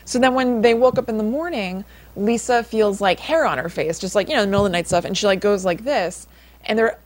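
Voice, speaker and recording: Female podcast co-host, ordinary mid pitch, loose conversational storyteller with a teasing, wry streak. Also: a little fast. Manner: rambling, wry, playful teasing. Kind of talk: casual chatty storytelling.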